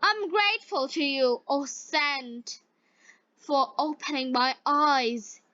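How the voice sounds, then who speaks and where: High-voiced headstrong boy, read by a woman. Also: slow, pushed and bright, no echo, highs cut off, heavily acted. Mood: grateful. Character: headstrong young merchant's son in a moral tale.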